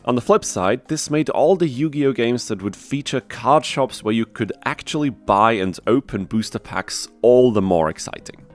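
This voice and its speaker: A man's voice: mid-range voice, expressive, moderate pace, hardly any pauses, faint music behind. A man giving a scripted, wry and dryly sarcastic narration for a gaming video. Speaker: video creator and host.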